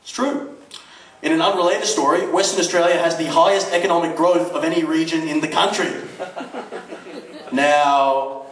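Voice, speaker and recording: A man's voice: a little high, steady tone, normal speed, pauses often. A man from Dubai performing a conversational stand-up comedy routine, peppered with rhetorical questions and swearing. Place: comedy club stage. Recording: roomy, distant mic, noisy club recording. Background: audience laughter, background noise.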